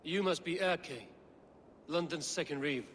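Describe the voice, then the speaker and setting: An actor playing a stoic male Viking clan warrior in a video game, speaking in a measured, formal and terse way. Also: a little high, fairly bright tone, a little hiss or hum. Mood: grave.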